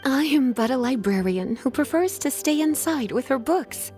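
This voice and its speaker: Poised, lilting librarian, higher-pitched. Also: female voice, animated, moderate pace, a little mellow, soft background music. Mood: teasing, knowing. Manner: teasing, poised, formal wording. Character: poised, teasing adult female librarian, languid and knowing. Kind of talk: scripted video game dialogue and storytelling.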